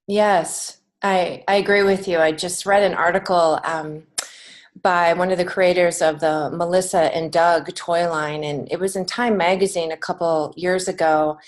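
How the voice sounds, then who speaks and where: Lower-pitched woman in a conversational interview. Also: fairly even intonation, unhurried. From United States. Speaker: podcast guest, children's author and creative writing teacher.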